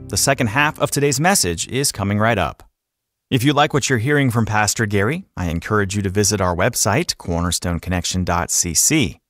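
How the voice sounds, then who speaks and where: A man's voice: fairly low pitch, a bit bright, dry recording, studio quality. A man with an American accent reading scripted promotional narration as a radio program announcer. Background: guitar music.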